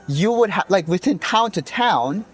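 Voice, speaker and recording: A man's voice: sits high, expressive, normal speed, husky. Hesitant man in his thirties, from North Korea. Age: 30s. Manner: hesitant, many fillers and restarts. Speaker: interviewee, defector. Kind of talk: casual street interview. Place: outdoors.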